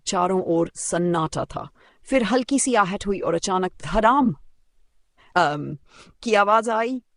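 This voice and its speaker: A man with a fairly high voice and a marked gravelly creak narrates a folk tale. His delivery is deliberate and builds suspense, as scripted dramatic storytelling. The sound is dry and close. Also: no room sound.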